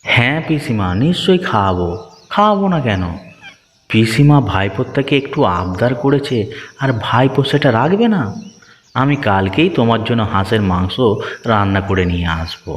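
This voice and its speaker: A man's voice: medium pitch, lively intonation, normal speed, lightly acted. A man playing a dutiful, good-natured village family man in a moral-story cartoon, earnest and familiar in manner. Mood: affectionate, reassuring. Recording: reverberant hall effect, music behind.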